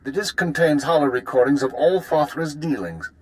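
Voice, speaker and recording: An actor playing a composed, authoritative imperial spymaster in a video game, speaking in a formal, measured way. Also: male voice, fairly high pitch, lively intonation, a little mellow, lightly acted, rough recording. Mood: briskly instructing. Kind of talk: video game character dialogue.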